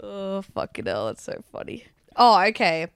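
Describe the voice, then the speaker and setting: A young woman with an Australian accent talks in a relaxed, filler-heavy way, swearing freely and teasing her co-host. Her voice sits at an ordinary pitch for a woman and is recorded dry and close. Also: normal speed, gravelly, clean tone, dry recording. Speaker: podcast co-host. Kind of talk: casual chat. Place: abroad, travelling in Italy.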